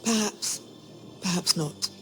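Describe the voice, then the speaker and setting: A haughty, menacing queen from a fantasy game. Her voice sits fairly low for a woman, and she speaks with measured, condescending formality while music plays clearly beneath her. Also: fairly bright tone. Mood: probing disdain. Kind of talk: dramatic fantasy game acting.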